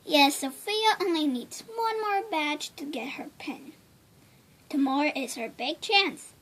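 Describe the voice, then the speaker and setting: A kindly storybook scout leader played by a woman reader, high in pitch and slow in her delivery. She explains things in an encouraging, instructive way. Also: lively intonation, fairly bright tone.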